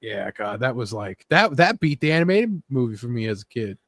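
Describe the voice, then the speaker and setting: A man's voice: mid-range voice, big pitch swings, a little fast, fairly bright tone, no room sound, clean studio sound. A man co-hosting a casual podcast chat, conversational and a little hesitant, with some swearing. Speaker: podcast co-host. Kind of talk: casual chat about movies and games.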